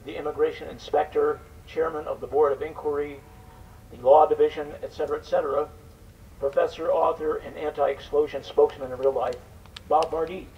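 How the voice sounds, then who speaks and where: A man's voice: fairly high pitch, fairly even intonation, brisk, dull audio. An older man introducing and narrating a live event, reading from a script as presenter. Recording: distant mic, faint background noise.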